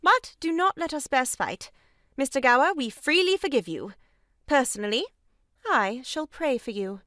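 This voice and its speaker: An actress plays a pushy, theatrically pious lady in an audio farce. Her voice is high and swoops dramatically from note to note. She is mannered and insistent, recorded very dry. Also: animated, normal speed, a bit bright, no room sound. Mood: mock resigned.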